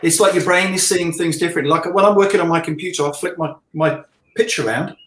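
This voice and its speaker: A man's voice: on the high side, fast, slight background noise. A middle-aged man chatting casually as a guest, rambling and jokey, talking about his work as an illustrator. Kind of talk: casual chat. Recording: roomy, echoey sound.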